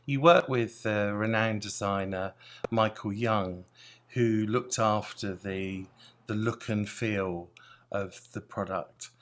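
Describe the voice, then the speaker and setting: Low-voiced male interview host. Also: fairly even intonation, slow, fairly bright tone. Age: middle-aged. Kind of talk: interview presenting.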